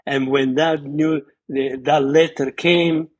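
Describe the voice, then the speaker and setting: An older man in his eighties with an Italian accent, a fairly high voice for a man, speaking English as a second language. He tells his story earnestly and haltingly, pausing and restarting as he reaches for the right words. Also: fairly even intonation. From Italy. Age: 80s. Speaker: guest, retired surgeon and author. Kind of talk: reminiscing interview storytelling.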